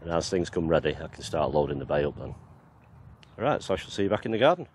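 A man's voice: rumbling low, very animated, fast talker, darkish tone, slight background noise. A man giving a casual, rambling tour of his allotment and back garden outdoors, with lots of fillers, filmed on a handheld camera. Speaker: gardening vlogger.

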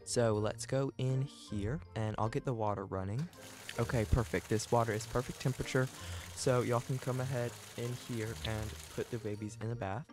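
A man with an ordinary mid-range voice chats through an improvised game roleplay. His delivery is exclamatory and bantering, and music plays clearly behind him. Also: medium pitch, fairly even intonation, normal speed. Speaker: host playing a parent in a roleplay.

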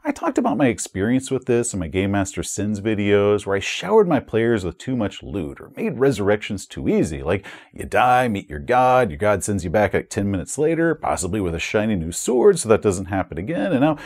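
A man in his forties talking to camera as a host, giving advice in an informal, emphatic and expressive way. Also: fairly low pitch, fairly quick, few pauses, breathy edge. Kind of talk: conversational advice monologue to camera. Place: small indoor room with bookshelves and curtain. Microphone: lapel mic.

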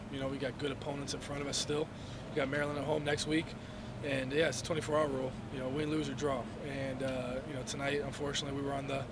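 Young man, fast-talking, flat delivery, post-game presser, loud noisy backdrop. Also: on the high side. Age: young adult. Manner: measured, filler-heavy answers with many "you know"s. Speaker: college football quarterback. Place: indoor hallway before a sponsor backdrop. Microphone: handheld reporter mics and phones held toward him.

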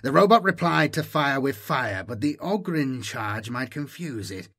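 A knightly inquisitor voiced by a male narrator reasons formally in an audiobook. His husky voice stays measured and deliberate. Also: mid-range voice, expressive, fairly bright tone, dry recording.

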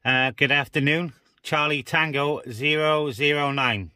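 A man's voice: a little high, fairly even intonation, unhurried, pushed and bright. A man chatting casually as a CB radio operator, speaking inside a parked car. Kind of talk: casual two-way radio chat.

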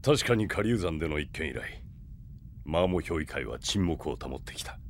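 Grave mature king, deep voice, authoritative, anime audio drama, faint noise behind. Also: expressive, normal speed. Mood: grave, brooding.

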